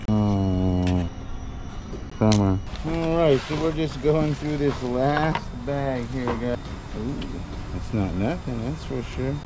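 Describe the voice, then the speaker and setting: Upbeat fortyish man host, handheld camera outdoors, loud background noise. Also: medium pitch, slow pace. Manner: upbeat, excitable, chatty. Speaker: storage unit treasure hunter. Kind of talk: casual vlog narration. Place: outdoors by a truck at a storage facility.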